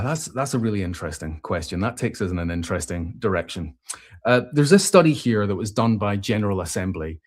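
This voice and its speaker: A man's voice: on the low side, darkish tone. A man hosting a casual question-and-answer show, thinking aloud as he responds to comments, with frequent restarts and filler sounds. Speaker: host.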